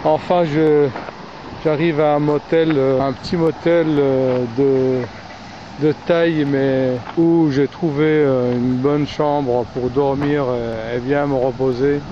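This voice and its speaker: A man with a French accent talks through his long drive, halting and restarting with many fillers. The recording is dull and lo-fi, with clear background noise throughout. His pitch sits in the middle range. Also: fairly even intonation. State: tired. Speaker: traveler narrating a road trip. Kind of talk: casual travel narration. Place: in a car and outdoors by a river.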